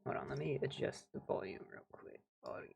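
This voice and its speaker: A woman's voice: extremely low pitch, wildly swooping pitch, fairly quick, vocal fry, a little mellow, a little hiss or hum. A woman livestreaming a game, chatting casually with viewers in an informal, wry way. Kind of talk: casual gameplay chat with viewers.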